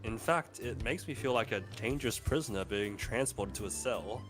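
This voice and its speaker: A man streams a game, reading the story aloud in a soft-spoken way. His pitch moves around freely and he slips into casual, sweary banter. Faint music plays behind him. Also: expressive, moderate pace. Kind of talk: narration mixed with casual banter.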